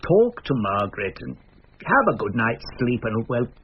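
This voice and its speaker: An actor playing a gruff, firm but fatherly senior police chief in a radio drama. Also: medium pitch, sing-song, normal speed, natural voice. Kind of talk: British radio drama acting. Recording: muffled, noisy radio drama recording.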